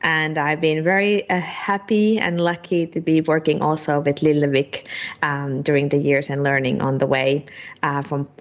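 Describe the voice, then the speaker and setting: A woman in her thirties from Finland, answering interview questions in a casual, halting way with many fillers. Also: deep voice, little pitch movement, muffled recording. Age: 30s. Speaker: guest, partner sales director.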